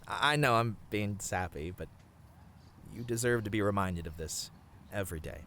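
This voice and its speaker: Low-voiced tender boyfriend, acted by a man. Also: normal speed, a little hiss or hum. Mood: tender, adoring. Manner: soft, earnest, hesitant with small stammers. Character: tender, sappy boyfriend confessing love on a picnic. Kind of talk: romantic ASMR roleplay, addressed to the listener.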